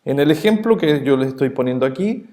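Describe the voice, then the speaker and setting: A man's voice: medium pitch, animated, brisk, mellow. A man from Chile with a Chilean accent, explaining networking as an instructor in a recorded lesson, repeating key terms and checking in with "¿bien?". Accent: Chilean Spanish. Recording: roomy echo.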